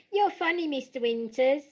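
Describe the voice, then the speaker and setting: A woman's voice: high-pitched, a little mellow. An actress playing a plucky, cheeky young girl who dances, in an audio play.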